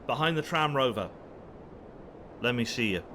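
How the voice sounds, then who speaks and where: A man's voice: medium pitch, animated, normal speed, bright, forceful tone, a little hiss or hum. A man playing a cocky, flirtatious, trigger-happy space rogue in an audio drama, with playful, teasing, theatrical delivery. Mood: wry, taunting.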